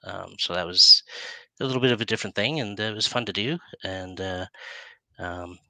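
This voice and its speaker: A man's voice: deep voice, moderate pace, creaky, bright, forceful tone, dry recording, poor audio. A middle-aged man chatting casually as an interview host in a rambling, self-interrupting way. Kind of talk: casual chat. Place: indoor room, video call. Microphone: headset.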